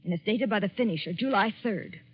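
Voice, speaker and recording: An actress playing a jilted, despairing young woman in a 1940s radio suspense drama, earnest, measured and grimly resolute. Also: mid-range voice, lively intonation, husky. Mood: wounded, despairing. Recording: dull 1940s radio broadcast.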